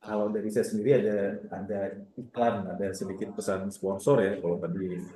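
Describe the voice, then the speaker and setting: A man's voice: lowish voice, flat delivery, brisk, dark tone. Male lecturer, echoey noisy room. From Indonesia. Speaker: university lecturer moderating a guest talk. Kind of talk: informal closing remarks to students. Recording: echoey room with clear background noise.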